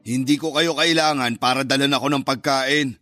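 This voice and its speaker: A man's voice: mid-range voice, steady tone, normal speed, bright, forceful tone, no room sound, faint music behind, lightly acted. An actor playing a bitter, dismissive father, curt and scornful, in a radio drama. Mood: irritated, scornful. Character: cold, bitter, dismissive father rejecting his son.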